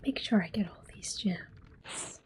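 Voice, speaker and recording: A woman softly whispering gentle, unhurried ASMR commentary over a video game, with a breathy, hushed voice. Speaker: gameplay host. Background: game music.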